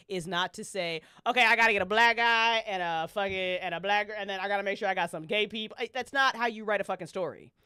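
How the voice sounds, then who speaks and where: A woman's voice: lowish voice, bright, forceful tone, clear, resonant tone, dry recording, studio quality. A woman on a podcast panel, chatting casually and opinionatedly as a fan, with emphatic, slangy, swear-heavy delivery.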